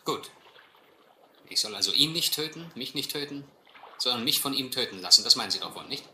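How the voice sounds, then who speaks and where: An actor playing an educated, resigned gentleman castaway in a radio play, articulate, earnest and bitter. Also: medium pitch, expressive, fairly quick. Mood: bitter, urgent. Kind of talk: German radio play narration and dialogue. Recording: roomy, faintly noisy radio play recording.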